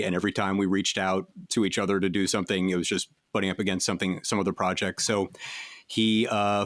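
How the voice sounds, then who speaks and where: A man's voice: on the low side, no room sound, studio quality. A man telling a casual anecdote as an interviewed voice actor in a group. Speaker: voice actor, interviewed cast member.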